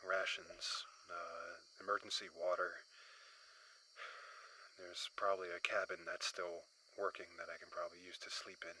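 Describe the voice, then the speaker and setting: A man playing a weary lone crash survivor, a chief surveyor recording halting audio logs in a sci-fi horror audio drama. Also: sits low, flat delivery, pushed and bright, slight background noise, low-quality recording. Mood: weary, subdued. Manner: halting, hesitant, sighing delivery.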